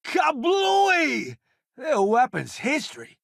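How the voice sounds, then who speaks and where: A man's voice: very high voice, wildly swooping pitch, gravelly, fairly bright tone, no echo, shouting, studio quality, exaggerated voice. A man playing a vain, flamboyant columnist turned space crewmate in a video game, with a wry, theatrical, smug delivery. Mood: alarmed combat shouts. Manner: theatrical, wry, smug banter with drawn-out emphasis. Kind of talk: video game companion dialogue.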